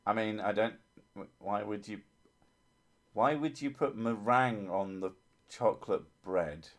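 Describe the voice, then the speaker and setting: A man with a low, soothing voice chats loosely through a livestream, rambling and poking fun at himself. He laughs often and drifts from one thought to the next. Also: measured pace. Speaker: livestream host. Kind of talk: casual livestream chat.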